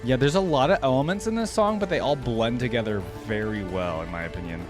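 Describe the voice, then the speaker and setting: A man's voice: medium pitch, fast talker. A man hosting a reaction video, giving casual, conversational commentary as he thinks aloud. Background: background music.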